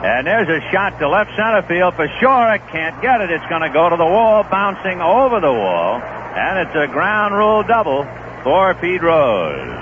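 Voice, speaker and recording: A man's voice: high voice, moderate pace. Radio baseball announcer guy, tinny old broadcast, lots of hiss, voice jumps all over. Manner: lively, rising with big plays. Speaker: play-by-play announcer. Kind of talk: live baseball radio commentary.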